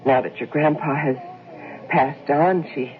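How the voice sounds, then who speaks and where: A woman's voice: low voice, steady tone, a little slow. A woman playing an anxious, insistent housewife in a radio drama, homely and fretful in manner. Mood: careful. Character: anxious, insistent housewife, a devoted mother and daughter. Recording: tinny, noisy old radio recording.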